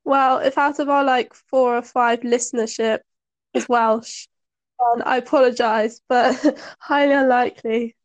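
High-voiced teasing young woman. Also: steady tone, measured pace. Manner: teasing, laughs often. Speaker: podcast co-host. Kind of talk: casual podcast chat.

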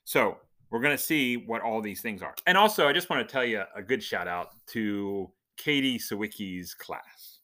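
A man with a fairly low, strongly bright voice leads an informal Bible lesson. He explains things conversationally, reads scripture, and poses questions to his listeners as he goes. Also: animated, normal speed, hardly any pauses. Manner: conversational, explanatory, asks questions and answers them. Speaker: podcast host giving Bible teaching.

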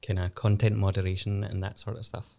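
Glaswegian lawyer explaining things. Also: male voice, fairly low pitch, flat delivery, quick pace, creaky, dark tone. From Glasgow, Scotland. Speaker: lawyer and lecturer, guest. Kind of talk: casual chat.

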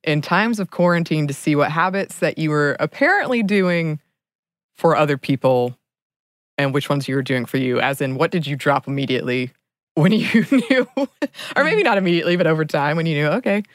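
A woman's voice: low-pitched, expressive, a little fast, no room sound, studio quality. A young woman co-hosting a book podcast, talking earnestly in a casual chat and laughing often between thoughts. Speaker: podcast co-host.

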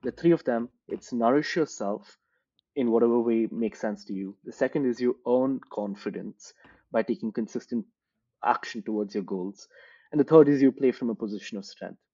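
A man from India, a career coach, giving thoughtful, measured interview answers built around examples. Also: mid-range voice, warm tone, dull audio.

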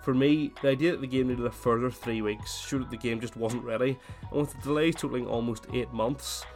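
A man with a cold hosting his gaming podcast, chatting casually and then reading a scripted essay in steady narration. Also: mid-range voice, a little fast, faint music behind. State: has a cold, voice slightly worn. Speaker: podcast host.

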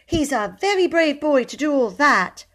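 A shrill little girl standing up for a friend, put on by a woman reading a storybook aloud, her voice pitched well above the narrator's. She is sharp and indignant. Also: very animated, normal speed.

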